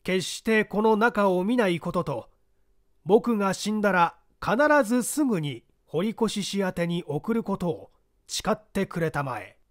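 A dying man speaks with grave urgency, played by a male audiobook reader. His high voice rises and falls dramatically as he insists on solemn promises. The sound is very dry. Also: lively intonation, unhurried, no room sound. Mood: grave, insistent.

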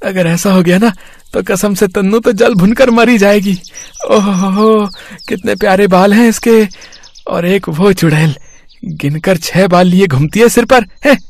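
Man acting a high-voiced henpecked husband. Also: a little slow. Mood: scheming, smitten. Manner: complaining, theatrical. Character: henpecked, whiny, scheming village husband.

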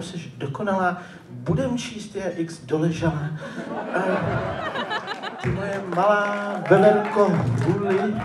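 A man performing slam poetry live in a theatrical, colloquial style with dramatic swings. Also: sits high. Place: live poetry slam event before an audience. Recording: roomy, noisy, distant live stage recording.

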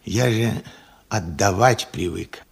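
Husky, weary old working man. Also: lowish voice, full character voice. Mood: weary, resolved. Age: elderly. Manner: slow, halting, reflective. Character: weary, regretful old working man, plain-spoken and stubborn. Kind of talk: Soviet radio play acting.

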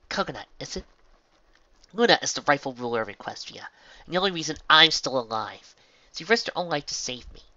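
A man's voice: on the high side, bright, forceful tone, no echo, dull audio, voice pushed up. A man voicing an earnest, modest young female pony heroine in a storybook, sincere and a little hesitant.

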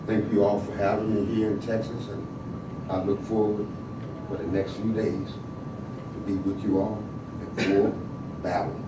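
Older man from the South giving a fiery podium speech, low voice, far off in an echoing hall. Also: noisy background. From American South. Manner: rising to loud emphatic exhortation. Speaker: panel speaker. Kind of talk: impassioned activist speech. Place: large hall in a state capitol. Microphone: podium microphone. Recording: echoey hall, heard from afar.

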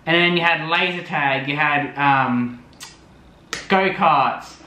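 A man's voice: fairly high pitch, takes it slowly, pushed and bright, echoey room, low-quality recording. A young man narrating a casual vlog voice-over, rambling with lots of fillers. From Australia. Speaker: vlogger.

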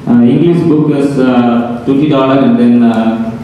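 A man in his forties holds a microphone at an indoor event and speaks with a dark, mellow tone and a flat pitch. His delivery is halting and full of fillers. The sound carries a long reverb and reaches the camera from far across the room. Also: slight background noise. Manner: halting, many fillers and restarts. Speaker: event organizer. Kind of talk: reading a prepared message aloud, then giving a vote of thanks. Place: large hall, indoors. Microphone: handheld microphone. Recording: echoey hall, camera at a distance.

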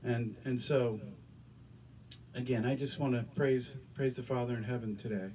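A man's voice: medium pitch, fairly even intonation, normal speed, a little mellow, far from the mic, slight background noise, rough recording. A middle-aged man preaching and praying as pastor in a small church, earnest, often repeating his words, speaking into a handheld microphone. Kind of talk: sermon and prayer. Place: small church stage with curtains.

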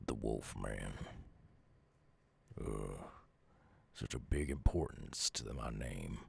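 An extremely deep, heavily gravelly werewolf with a Southern US drawl, in an ASMR audio roleplay. He is a gruff, wounded outcast, weary and guarded. His words come slowly and haltingly, in a very husky rasp. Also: lively intonation, full character voice. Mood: guarded, resigned.

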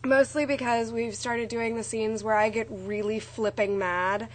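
A young woman, a little hoarse, chatting in a rambling, bubbly way to her friends from a small dorm room on a webcam. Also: a little high, little pitch movement, a little slow, low-quality recording. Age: young adult. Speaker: vlogger. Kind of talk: casual chat to friends. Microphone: webcam at close range.

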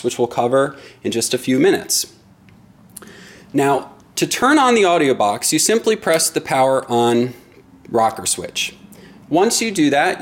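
A middle-aged man giving an instructional product demonstration in a deliberate, explanatory way. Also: medium pitch. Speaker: product presenter.

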